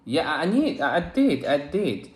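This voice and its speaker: A man with a Chinese accent, a native Chinese speaker learning English, talking casually in halting, repetitive, broken phrases. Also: a little high, very animated. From China. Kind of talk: casual one-on-one conversation lesson. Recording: echoey room.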